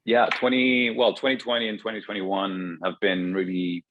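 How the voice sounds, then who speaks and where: A man's voice: fairly low pitch, flat delivery, normal speed, lo-fi sound, noisy background. A man in his thirties from Greece, a startup co-founder speaking as a guest on a remote interview, hesitant with many restarts and fillers. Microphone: video call.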